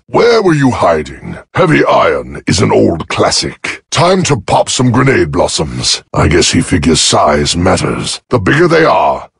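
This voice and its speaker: An actor playing a cocky, gruff, battle-loving giant robot soldier in a video game, raspy and gravelly, with a swaggering, wisecracking delivery. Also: male voice, deep voice, fairly bright tone, no echo, studio quality, exaggerated voice.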